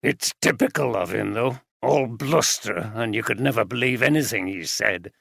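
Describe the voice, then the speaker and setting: A man's voice: on the low side, moderate pace, gravelly, a bit bright, husky, no room sound, studio quality, full character voice. A man voicing a scornful, plain-spoken brewery cooper. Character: scornful middle-aged male brewery cooper.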